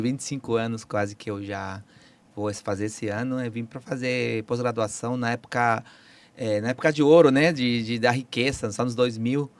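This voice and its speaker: A man in his forties from Peru with a Peruvian Spanish accent, explaining biology as a podcast guest, hesitant with many fillers and restarts. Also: medium pitch.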